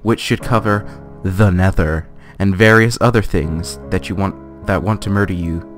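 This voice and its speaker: A man with a fairly low voice reads a fantasy guidebook aloud. His delivery is theatrical and deliberate, like a dramatic reading for a game video. Guitar music plays clearly behind him. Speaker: let's play gamer.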